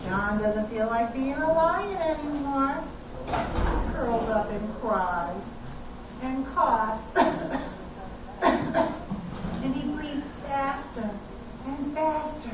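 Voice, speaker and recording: A woman reading a picture book aloud to children at a live event, with an animated, drawn-out storybook delivery. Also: high-pitched. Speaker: story reader at a children's health puppet show. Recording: echoey, muffled, noisy room, distant mic.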